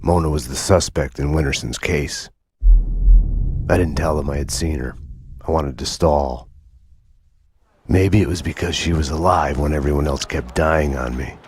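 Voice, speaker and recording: Very deep, haunted noir detective. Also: male voice, a little hiss or hum. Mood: weary, grim. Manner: brooding, deliberate, poetic. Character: haunted, world-weary male noir police detective. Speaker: narrator and main character. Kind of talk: noir game cutscene narration. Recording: music behind the voice.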